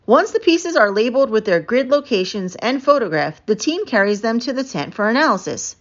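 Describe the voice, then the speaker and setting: A woman narrating a children's book aloud in an expressive, emphatic storytelling manner. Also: fairly high pitch, fairly quick, lo-fi sound. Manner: animated, emphatic storytelling with stretched key words.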